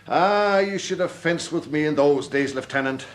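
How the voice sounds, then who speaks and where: An actor playing a boastful, effusive old Irish army captain and fencing master in a period radio drama, with a florid, proud manner. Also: male voice, on the high side, moderate pace, slight background noise, close to an everyday voice. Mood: boastful reminiscing. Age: older.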